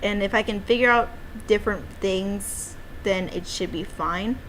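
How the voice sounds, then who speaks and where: Female streamer, medium pitch, playful off-the-cuff rambling with cutesy interjections, noisy background. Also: a little slow, a bit bright. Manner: playful, uses cutesy exclamations. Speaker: streamer and amateur game developer. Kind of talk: casual rambling livestream chat. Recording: clear background noise.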